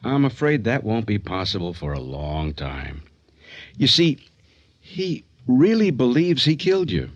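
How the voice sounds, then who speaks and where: An actor playing a sober, authoritative doctor in a radio thriller, grave and explanatory. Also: male voice, mid-range voice, very animated, unhurried, no echo, muffled recording.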